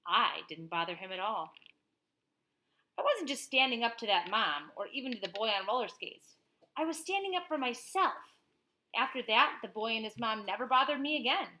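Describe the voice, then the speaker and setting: Bright, higher-pitched woman reading to children. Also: a little fast, poor audio. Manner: expressive, explanatory, addresses children directly. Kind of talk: animated picture-book read-aloud with informal asides.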